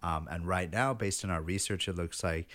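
Male podcast host, easygoing, filler-heavy, very low voice. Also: moderate pace. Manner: relaxed, friendly, many fillers. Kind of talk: casual interview podcast.

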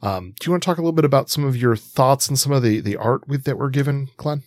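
A man hosting a podcast in a casual discussion, talking reflectively in rambling, halting sentences. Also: medium pitch, no room sound. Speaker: podcast host.

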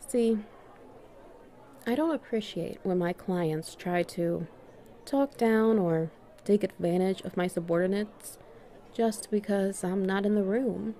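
A woman playing a confident, strict and subtly dominant female boss in a flirty audio roleplay, speaking in a measured, teasing way with deliberate pauses. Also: medium pitch, dark tone. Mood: firm, commanding. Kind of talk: flirty one-sided roleplay dialogue.

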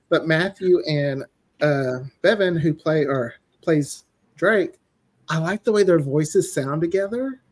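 A man chatting casually as an interview guest, with an enthusiastic, conversational way of talking. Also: a little high. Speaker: guest, audio drama writer.

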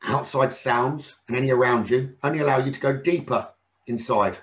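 A man leading a guided meditation in a soothing, unhurried manner with frequent pauses. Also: medium pitch. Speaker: meditation teacher.

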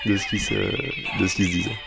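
A man's voice: lowish voice, huge pitch swings, a little fast, creaky, over loud music. A man giving an interview, recalling childhood memories in a hesitant way with many fillers. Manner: hesitant, frequent fillers, laughs while talking.

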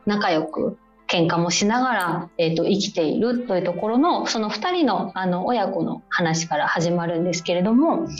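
A woman's voice: on the low side, expressive, normal speed, few pauses, highs cut off, soft background music. A woman speaking as a radio interview guest, explaining things politely in a conversational, somewhat hesitant way. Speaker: guest, film publicist.

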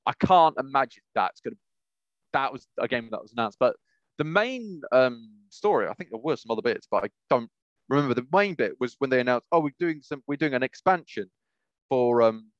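A man's voice: medium pitch, animated, brisk, dry recording, muffled recording. A British man co-hosting a podcast in casual chat, rambling and often correcting himself as he goes. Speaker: podcast co-host.

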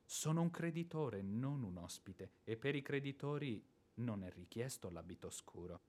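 An actor playing a boorish, hot-tempered ex-officer creditor in a radio drama, sarcastic and theatrical, moving between muttering and bluster. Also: male voice, mid-range voice, animated, moderate pace, a little mellow, close to an everyday voice. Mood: grumbling, weary. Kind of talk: radio drama stage acting.

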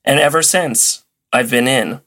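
A man's voice: mid-range voice, measured pace, bright, forceful tone. A man chatting casually as a podcast guest, telling stories in an enthusiastic, playfully dramatic way. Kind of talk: casual chat, storytelling.

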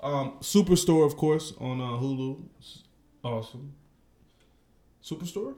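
A man co-hosting a casual podcast, joking and relaxed, with lots of filler words. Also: a little high, measured pace, a little hiss or hum. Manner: joking, laid-back, lots of "uh". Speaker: podcast co-host. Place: indoor room, across from co-host. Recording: echoey room.

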